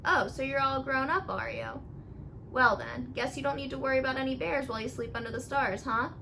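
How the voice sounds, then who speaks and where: A woman's voice: high voice, fairly even intonation, brisk, pushed and bright, a little hiss or hum, poor audio. A woman reading a joking father's part in a storybook, playful and mock-serious. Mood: teasing. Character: teasing, joking father of a young boy. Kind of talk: storybook character read aloud.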